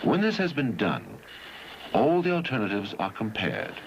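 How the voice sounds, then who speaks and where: A man narrates an educational film in a lowish voice with lively intonation. His delivery is measured and didactic, each phrase set out deliberately. The early seventies soundtrack sounds dull and muffled. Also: a little hiss or hum.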